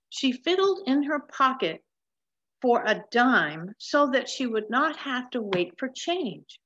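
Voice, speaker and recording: An older woman reading a picture book aloud in a measured, explanatory manner, recorded on a webcam at home. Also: a little high, a bit bright. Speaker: storybook reader. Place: large wood-ceilinged room at home. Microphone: webcam or laptop mic at desk distance. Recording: slightly dull webcam sound.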